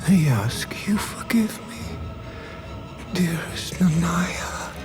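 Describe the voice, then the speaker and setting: A man playing an anguished, remorseful lord in a fantasy game, with a breathy, whispered delivery. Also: a little high, lively intonation, slow. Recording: music behind the voice. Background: music.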